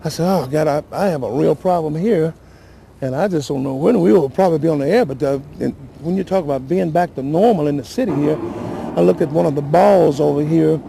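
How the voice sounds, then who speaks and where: Male local official, creaky mellow voice, halting and shaken, live interview over background noise. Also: fairly high pitch. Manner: halting, repetitive, emotional and earnest. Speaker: city councilman giving interview. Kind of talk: unscripted live news interview.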